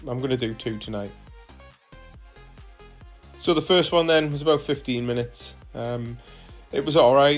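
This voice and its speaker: A man talks through his cycling footage in a relaxed, halting way, filling the gaps with uhs and ums. His pitch stays flat and even. Soft music plays quietly behind him. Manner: relaxed, hesitant with frequent fillers. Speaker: cycling vlogger. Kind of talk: casual voice-over narration of ride footage.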